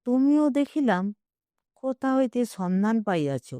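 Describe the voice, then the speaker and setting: An old Bengali gentleman from a literary audio story, read by a woman, persuasive and wryly self-justifying. He talks slowly and coaxingly, in a mellow, dry-recorded voice. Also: a little high, expressive, dry recording. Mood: wry, teasing.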